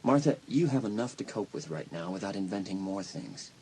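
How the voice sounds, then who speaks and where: An actor playing a concerned, reassuring school counselor in an audio drama, speaking earnestly and soothingly with some hesitation. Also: male voice, deep voice, lively intonation, a little fast, mellow, a little hiss or hum, rough recording.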